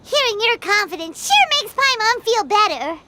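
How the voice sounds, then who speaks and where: A very high, squeaky childlike fairy chatters excitably in game dialogue. Her pitch bounces about, over loud background noise. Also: normal speed. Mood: worried. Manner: refers to self in third person, exclamatory. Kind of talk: video game cutscene dialogue.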